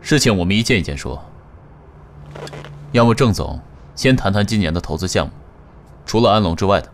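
A man's voice: low-pitched, animated, fairly quick, soft background music. A man playing a cool, ruthless, self-assured company chairman in an audio drama, composed and imperious. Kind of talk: Chinese audio drama acting.

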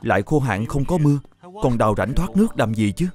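Man playing a curious junior monk, medium pitch. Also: lively intonation, fairly quick, darkish tone, soft background music, close to an everyday voice. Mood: questioning. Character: curious, questioning male junior temple monk. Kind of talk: animated Buddhist drama dub.

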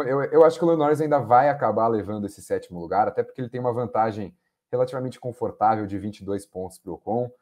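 A young man with a Brazilian Portuguese accent, giving measured, hesitant analysis on a podcast video call. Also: on the low side, a little mellow. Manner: measured, hesitant, many fillers. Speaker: podcast panelist. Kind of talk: casual analytical chat.

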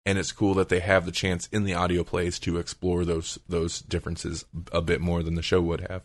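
Low-pitched man chatting casually on a podcast. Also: completely flat delivery, fairly quick, no echo. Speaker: podcast host.

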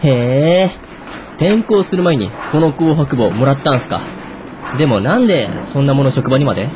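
An actor playing a breezy, slangy junior office worker in a radio drama. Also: male voice, mid-range voice, lively intonation, normal speed, noisy background, close to an everyday voice. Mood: flippant. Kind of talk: naturalistic radio drama acting.

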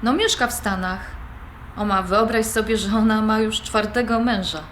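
A woman's voice: mid-range voice, steady tone, normal speed, close to an everyday voice. A young woman playing an independent-minded granddaughter, conversational and questioning, in audio drama dialogue. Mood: chatty. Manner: conversational, questioning, mildly protesting. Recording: roomy recording with background noise.

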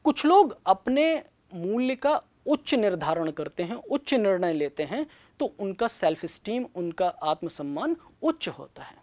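A middle-aged man giving a scripted classroom lecture in a studio on a lapel mic, measured and explanatory. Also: high-pitched, very animated, a little mellow. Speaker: teacher. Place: studio with backdrop.

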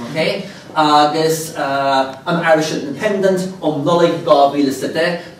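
Male keynote speaker, 30s, somewhat high voice, animated lecturing with wry asides, echoey hall, mic a little distant. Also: a little hiss or hum. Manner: animated, reads quotations aloud with dry asides. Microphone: lapel mic.

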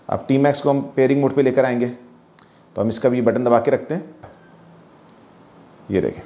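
A man's voice: mid-range voice, darkish tone. A man with a Pakistani accent giving a casual, explanatory hands-on gadget demonstration, dropping in English phrases. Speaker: product reviewer. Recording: echoey room.

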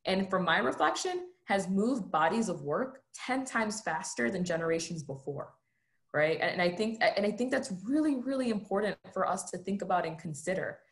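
A woman's voice: mid-range voice, lively intonation, normal speed. A woman speaking on a panel over a video call, earnest and reflective, often tagging her points with a questioning "right?" and gesturing with her hands as she talks. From United States.

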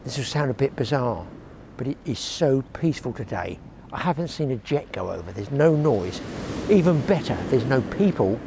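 Older guy chatting away to his camera on a pebbly beach, grumbly dry humour, steady mid voice, lots of outdoor noise behind. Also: mid-range voice, moderate pace, creaky. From England. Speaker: fishing vlogger. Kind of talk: casual chat to camera. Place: open shingle beach outdoors. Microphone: action camera held close.

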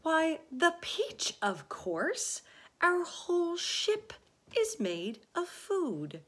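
Storybook young boy, confident, earnest, raised pitch, slow emphatic phrasing, voiced by a woman. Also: wildly swooping pitch, fairly bright tone, full character voice. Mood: patient. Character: confident, patient young boy, practical and reassuring. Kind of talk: children's storybook read aloud.

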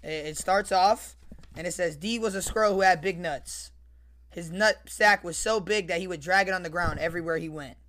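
A young man reading aloud casually on a livestream, swearing, slangy and repeating his phrases in an animated way. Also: high voice, normal speed. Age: young adult. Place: small bedroom, indoors. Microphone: close desk microphone with headset.

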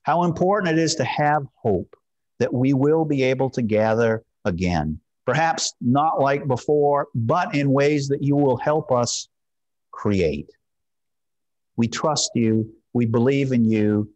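A man in his fifties preaching informally as a pastor in a measured, gently encouraging way. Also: medium pitch, animated, highs cut off. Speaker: pastor leading a church service. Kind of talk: informal sermon and prayer. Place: church sanctuary, at a wooden pulpit.

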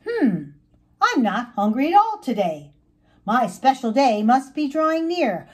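An earnest young boy caterpillar from a storybook, acted out by a woman reader. He speaks in a high, swooping voice with a husky edge, drawing out his words eagerly. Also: exaggerated voice. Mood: friendly, musing. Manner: expressive, drawn-out calling and musing.

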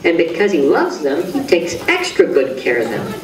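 An actor playing a gentle, reassuring grown-up cartoon friend who explains things simply to a child in a musical story. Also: mid-range voice, huge pitch swings, moderate pace. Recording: roomy distant playback with music behind.

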